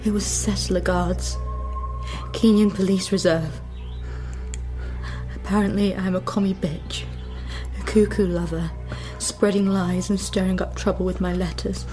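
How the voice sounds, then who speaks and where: Radio drama: actress as defiant colonial wife, mid-range voice, embittered and curt. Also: female voice, mid-range voice, steady tone, measured pace, warm tone, faint music behind, natural voice. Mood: bitter.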